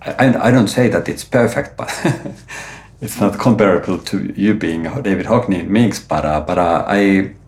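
Deep-voiced man hosting with many fillers. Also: unhurried, darkish tone. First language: not Swedish; Finnish-sounding name, origin unstated. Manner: hesitant, many fillers and restarts. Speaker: podcast host. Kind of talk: conversational interview. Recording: roomy, echoey sound.

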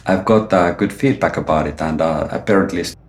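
A man's voice: sits low, fairly quick. A middle-aged man hosting an interview, talking hesitantly with many fillers and restarts, speaking English as a non-native. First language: not Swedish; Finnish-sounding name, origin unstated. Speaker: podcast host. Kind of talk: conversational interview. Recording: roomy, echoey sound.

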